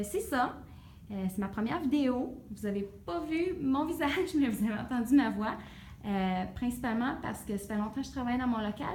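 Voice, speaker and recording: A woman's voice: mid-range voice, a little mellow, slight background noise. Franco-Ontarian woman teacher in an echoey classroom. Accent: Franco-Ontarian French. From Ontario, Canada. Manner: hesitant, many fillers, friendly explaining. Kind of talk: casual classroom tour vlog. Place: empty classroom.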